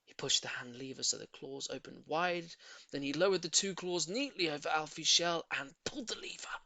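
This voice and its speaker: A man reading a children's story in an expressive, theatrical narrating style. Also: on the high side, fairly quick, creaky, no echo. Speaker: audiobook reader.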